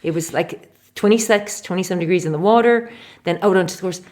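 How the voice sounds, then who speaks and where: A woman in her forties from Canada, a physiotherapist guest telling stories in a chatty, animated way in a casual interview. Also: on the low side, fast. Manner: chatty, animated storytelling with laughs.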